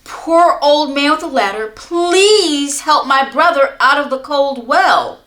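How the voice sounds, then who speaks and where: A woman voicing a frightened, breathless little boy in a read-aloud storybook, shouting urgently. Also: high voice, lively intonation, slow, voice pushed up, exaggerated voice. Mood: pleading. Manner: urgent shouting of a long name.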